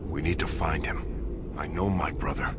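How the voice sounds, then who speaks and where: An actor playing a protective, earnest dwarf warrior in a video game, speaking as a concerned brother. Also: male voice, rumbling low, steady tone, measured pace, heavy background noise. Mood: reassuring resolve. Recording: loud game music over the voice.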